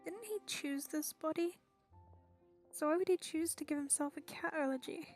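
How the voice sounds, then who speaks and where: Gamer girl chatting casually while playing, high voice, loud game music behind. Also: normal speed. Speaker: game streamer. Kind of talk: casual gameplay commentary.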